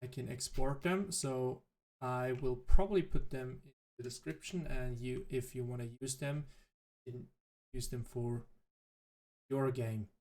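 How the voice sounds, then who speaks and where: A man whose native language is German, thinking aloud in halting, self-correcting commentary as he plays a game. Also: mid-range voice, steady tone, darkish tone. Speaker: let's play host. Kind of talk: casual gameplay commentary.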